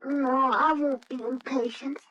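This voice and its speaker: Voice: high-pitched, steady tone, unhurried, bright, forceful tone, muffled recording, a little hiss or hum. A performer playing an earnest, anxious young boy in a radio drama, hesitant and pleading, on an old recording.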